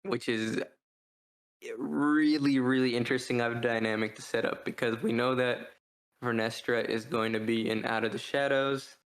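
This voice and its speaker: A man's voice: medium pitch, fairly even intonation, normal speed. A man co-hosting a podcast, talking casually in a rambling, hesitant way and often circling back on his own phrasing. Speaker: podcast co-host reviewing books. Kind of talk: casual discussion.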